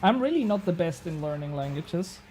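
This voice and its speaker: A man's voice: on the high side, lively intonation, moderate pace, a little mellow, slight background noise. A young man from Switzerland, a native Swiss German speaker, chatting casually with his viewers while he plays a game. He rambles, mocks himself, swears casually and laughs a lot. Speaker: game streamer. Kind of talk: casual live-stream chat while gaming.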